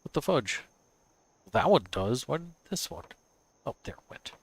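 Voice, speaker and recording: A man's voice: fairly high pitch, very animated, measured pace, creaky, husky, no room sound. A man with a cold, stuffy and sniffly, casually thinking aloud as he builds in a game on a live stream. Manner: thinks aloud, muttering to himself and chuckling.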